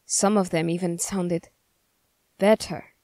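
Girl doing a stern suspicious young soldier guy for asmr roleplay, lowish voice, really slow and formal, super dry close sound. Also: steady tone, dark tone, no room sound. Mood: guarded, distrustful. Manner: measured, formal, interrogating. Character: stern, suspicious young male Fjerdan soldier, witch hunter. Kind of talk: ASMR character roleplay, slow scripted monologue.

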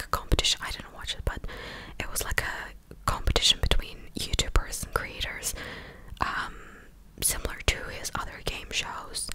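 A woman's voice: bright, forceful tone, rough recording. Young female ASMR host, fully whispered, chatty and informal delivery, close-miked at home. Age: young adult. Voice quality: breathy, unvoiced whisper. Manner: informal, conversational, opinionated. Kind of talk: whispered ASMR commentary, casual chat. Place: small indoor room at home. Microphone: close desktop microphone.

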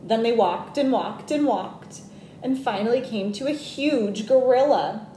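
A woman in her twenties reading a picture book aloud to children in an expressive, deliberate way. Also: a little high. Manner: animated, deliberate. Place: small plain indoor room. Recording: echoey room with background noise.

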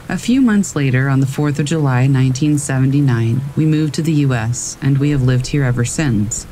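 A man calmly reading scary stories aloud as a narrator, in a steady storytelling manner. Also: fairly high pitch, slight background noise, poor audio. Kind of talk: scripted narration of reader submissions. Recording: faint rain in the background. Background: rain.

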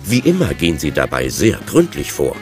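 A man's voice: rumbling low, wildly swooping pitch, normal speed, over music, exaggerated voice. A man narrating a children's undersea adventure audio drama from a script.

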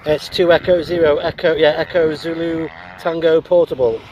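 A man's voice: a little high, level tone, moderate pace, muffled recording. Middle-aged man talking outdoors, faint noise. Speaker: amateur radio operator and vlogger. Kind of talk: casual outdoor vlog talk. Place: outdoors on open heathland by a road.

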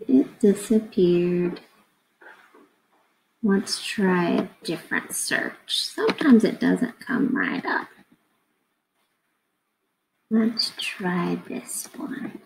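Thirties woman, teacher reading to children, unhurried, creaky mid-pitched voice. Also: animated, a little mellow, slight background noise, low-quality recording. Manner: explains simply, asking questions of young listeners. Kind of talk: casual read-aloud lesson for children. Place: indoors at a computer, webcam screen recording.

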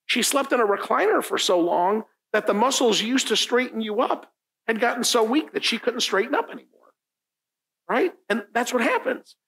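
A man's voice: extremely high pitch, expressive, moderate pace, vocal fry, a bit bright, rough recording. A middle-aged man whose first language is Italian, preaching a conversational sermon at a lectern in a church room. He talks informally, often asks "right?", and repeats key lines for emphasis. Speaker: pastor. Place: church meeting room, indoors, standing at a lectern.

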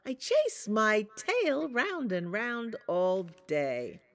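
Lady doing tiny wolf pup, high squeaky kid voice, all bouncy. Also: wildly swooping pitch, drawn-out words, full character voice. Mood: cheerful. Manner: high, bright childlike voice. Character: small gray wolf cub boy, bouncy and eager.

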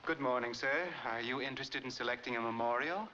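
A man's voice: medium pitch, fairly quick, pushed and bright, lightly acted. A middle-aged actor playing a polite, matter-of-fact monument salesman in 1940s film style. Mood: polite, businesslike. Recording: noisy, dull 1940s film soundtrack.

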